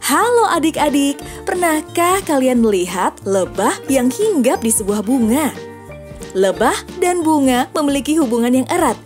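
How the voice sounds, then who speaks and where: A woman's voice: high voice, animated, a little slow. A woman narrating a scripted science lesson for children, asking friendly questions as she explains. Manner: friendly questions to young listeners. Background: music.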